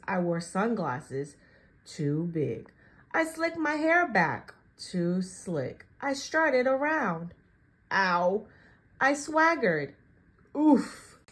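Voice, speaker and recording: A woman reads a picture book aloud for young children. Her voice sits fairly high and swings widely in pitch. She takes her time, speaking slowly and deliberately, and acts out the story as she goes. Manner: expressive, slow and deliberate, acting out the story.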